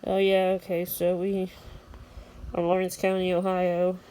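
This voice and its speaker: A woman's voice: lowish voice, completely flat delivery, unhurried, dark tone, clear, resonant tone, poor audio. A woman giving casual, matter-of-fact, rambling commentary to a phone at home, half muttering to herself. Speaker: amateur weather radio vlogger. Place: room at home at night. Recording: faint background noise, handheld home recording.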